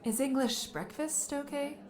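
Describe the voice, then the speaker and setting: Actress as a high-voiced cafe waitress. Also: female voice, measured pace, a little mellow, faint music behind. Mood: attentive. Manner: courteous, service-minded. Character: attentive, chatty cafe waitress.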